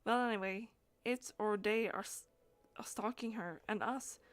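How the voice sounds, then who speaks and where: A woman game streamer with a mid-pitched voice, talking through her play and reading dialogue aloud. Her delivery is halting, with stumbles and repeated words. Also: no room sound.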